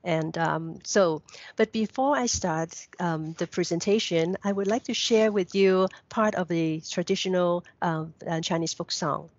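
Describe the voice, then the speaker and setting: Slow woman presenting. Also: lowish voice, no room sound, dull audio. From Hong Kong. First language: Cantonese. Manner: careful, measured, with some restarts. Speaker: guest presenter. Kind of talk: prepared presentation.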